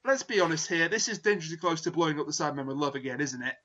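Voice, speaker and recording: Male host, high bright voice, wordy and dry, a bit tired; lo-fi call sound. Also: lively intonation, rapid-fire. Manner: wordy, self-correcting, dry humor. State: tired, late at night. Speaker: podcast host. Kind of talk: casual review discussion.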